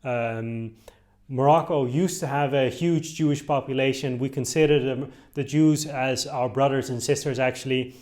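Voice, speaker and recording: A man's voice: mid-range voice, fairly even intonation, normal speed, darkish tone. A young Dutch-born man of Moroccan roots commenting on a topic in a casual, hesitant way, with many fillers and restarts. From Netherlands. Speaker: video host commenting on clips.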